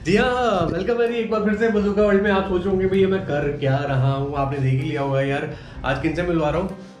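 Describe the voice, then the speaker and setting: A man's voice: sits high, fairly even intonation, brisk, a little mellow, soft background music. A man hosting a casual vlog interview from home, chatty, colloquial and effusive. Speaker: vlogger and interviewer. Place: at home, on a video call. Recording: echoey room, dull noisy sound.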